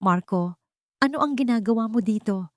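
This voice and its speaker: Woman acting out a frightened, defiant mother, higher-pitched. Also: moderate pace, dry recording, studio quality, close to an everyday voice. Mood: fearful. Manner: trembling yet firm, pleading. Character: frightened but defiant young mother protecting her children.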